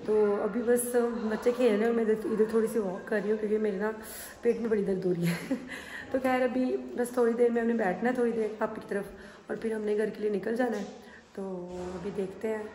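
A woman in her thirties, hoarse from a sore throat, chatting slowly and tiredly to her phone camera at home as a family vlogger. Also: medium pitch. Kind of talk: casual vlog chat to camera. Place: indoors at home. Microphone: phone held at arm's length.

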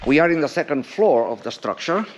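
A man's voice: a little high, big pitch swings, noisy background. A man giving casual running commentary as he climbs around an abandoned concrete building outdoors, filmed on an action camera. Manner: unhurried, plainly describing what he sees. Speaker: explorer filming an abandoned building. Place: outdoors on an unfinished concrete structure. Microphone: action camera held or worn.

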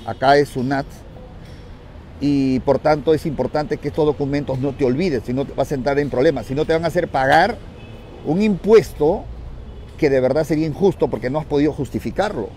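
Emphatic Peruvian man, higher-pitched and mellow. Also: a little fast. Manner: emphatic, repeats phrases for emphasis. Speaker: real estate investment coach. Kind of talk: vlog talk to viewers, instructive. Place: outdoors on a city street. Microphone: handheld phone. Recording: street noise around a handheld phone.

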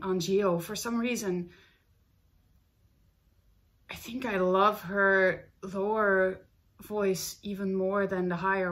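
A young woman talks casually at a middle pitch, thinking aloud as she reacts to music. Her tone stays chatty and keen throughout. She is recorded on a desk webcam in a small room at home. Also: a bit bright.

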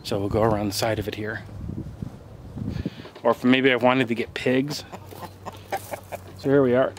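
A man in his forties talks his way through a casual homestead tour, plain-spoken and unhurried, with ums as he thinks. His voice sits in a middle pitch. It is recorded on a handheld camera outdoors and in sheds, with clear background noise on the audio. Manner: relaxed, plain-spoken, thinks aloud with fillers. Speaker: homesteader giving a property tour. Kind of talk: casual walking tour vlog. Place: outdoors and in farm buildings. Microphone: handheld camera mic, filming himself.

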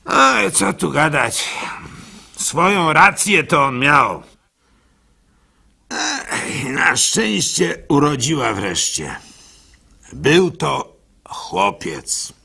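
Radio drama lead: plaintive, gullible village fool, rueful folksy storyteller, husky and unhurried, theatrical monologue. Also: male voice, on the high side, very animated, sharp tone, heavily acted. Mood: rueful, bewildered.

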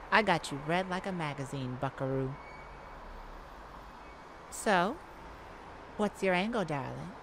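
A woman's voice: fairly low pitch, a little hiss or hum. A woman playing a cocky, flirty female cat burglar in an ASMR roleplay, with a teasing, sassy, theatrical delivery.

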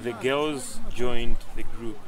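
A man's voice: medium pitch, takes it slowly, crowd noise. A man in his twenties with a Malawian accent, giving a testimony in an outdoor interview. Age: 20s. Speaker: youth programme participant. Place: outdoors on a campus lawn. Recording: noisy outdoor ambience with traffic.

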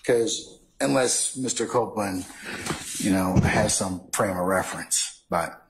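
A male judge speaks in a fairly deep voice. He addresses the lawyers from the bench in a large courtroom. His delivery is halting and deliberate as he works through a ruling. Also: noisy background. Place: large wood-paneled courtroom.

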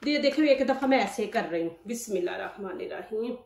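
A woman's voice: mid-range voice, animated, brisk, creaky, darkish tone, echoey room, distant mic, slight background noise. A woman with a Pakistani Urdu accent hosting a cooking demonstration at home, chatty and instructive, often saying religious phrases. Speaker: cooking channel host. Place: home kitchen.

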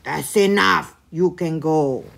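Woman doing a slow menacing monster. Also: low voice, creaky, exaggerated voice. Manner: slow, drawn-out, loud and menacing. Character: menacing slow-talking goat-eating monster, male.

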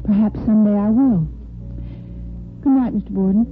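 Woman acting a kind tired nurse, halting and soft-hearted, 50s radio drama, thin lo-fi sound w faint music. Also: medium pitch, natural voice. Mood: weary, reserved. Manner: hesitant, gentle, halting speech. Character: tired, tender-hearted hospital nursing superintendent. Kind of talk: 1950s radio soap opera acting. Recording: muffled old radio broadcast, faint music.

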